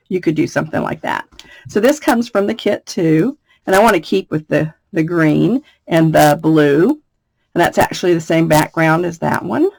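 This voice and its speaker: Chatty woman with a raspy voice, dry sound. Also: lowish voice, no room sound. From United States. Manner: chatty, laughs often, thinks aloud. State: slightly raspy voice. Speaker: craft demonstrator. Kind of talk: casual craft tutorial.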